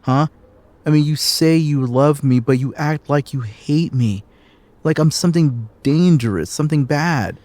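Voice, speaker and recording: A man's voice: fairly high pitch, measured pace, warm tone, no room sound, natural voice. A man acting a hurt, accusatory fiancé leaving his partner in an audio drama. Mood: bitter, accusing. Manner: wounded, accusatory.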